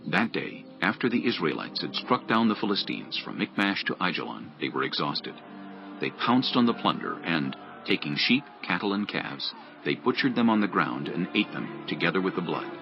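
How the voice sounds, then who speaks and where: Very deep, gravelly male narrator. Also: big pitch swings, moderate pace, lo-fi sound, over music. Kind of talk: scripted dramatized Bible narration.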